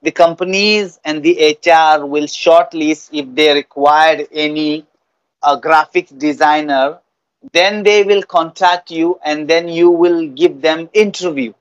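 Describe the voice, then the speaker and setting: A man's voice: fairly high pitch, a little slow, clean tone, no echo, lo-fi sound. A young Indian-accented man, an English trainer in conversational speaking practice, who repeats phrases for emphasis on a video call. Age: young adult.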